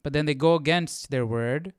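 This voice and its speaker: A man's voice: on the high side, normal speed, clear, resonant tone, no echo, clean studio sound. A man hosting a casual Bible study, thinking aloud in a hesitant, questioning way and often checking in with the listener. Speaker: Bible study livestream host.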